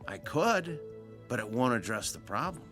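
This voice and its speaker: An actor playing a stern, concerned doctor in a radio drama, speaking firmly and emphatically. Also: male voice, medium pitch, sing-song, normal speed, a bit bright, soft background music. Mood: firm, admonishing. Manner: firm, emphatic, admonishing. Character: stern, concerned male doctor lecturing a patient.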